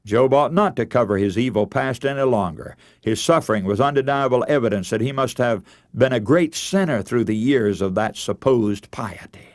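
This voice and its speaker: A man's voice: medium pitch, moderate pace, few pauses, no room sound, studio quality. A man preaching a scripted radio sermon, telling a story in a solemn, formal and rhetorical manner.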